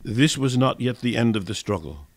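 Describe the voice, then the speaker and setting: A man narrating a scripted dramatic reading for radio in a formal, measured manner. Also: medium pitch, animated, dry recording. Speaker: narrator and reader.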